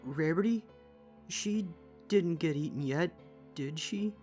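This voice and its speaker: A woman's voice: low voice, slow pace, darkish tone. A woman voicing a frightened young male baby dragon with a nervous, warbling whimper. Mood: distressed. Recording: music behind.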